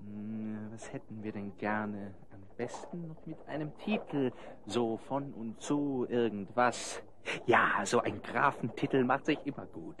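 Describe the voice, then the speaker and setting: A man's voice: lowish voice, measured pace, background noise. An actor playing a sly, vain swindler who poses as a nobleman, with a theatrical, blustering manner in a radio play. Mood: musing, smug. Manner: theatrical, blustering, stumbling over his invented name.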